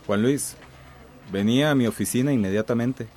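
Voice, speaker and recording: A man's voice: mid-range voice, moderate pace, a little mellow, close to an everyday voice. An actor playing a bullying male company boss in a radio drama, two-faced, falsely jovial and domineering. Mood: barking, contemptuous.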